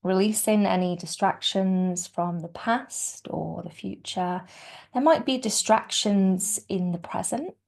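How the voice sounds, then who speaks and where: Gently creaky woman guiding meditation. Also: mid-range voice. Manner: soft, soothing, unhurried delivery with gentle pauses. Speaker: yoga nidra teacher. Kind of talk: guided meditation.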